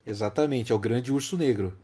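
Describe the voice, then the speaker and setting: A man's voice: mid-range voice, a little fast, dark tone, breathy edge. A Brazilian man co-hosting a casual film discussion, explaining things emphatically and repeating key phrases. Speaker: podcast host.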